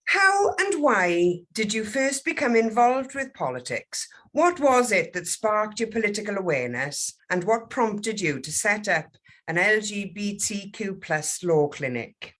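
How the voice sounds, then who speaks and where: A woman, hosting a podcast and interviewing in a measured, orderly way. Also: medium pitch, big pitch swings, fairly bright tone.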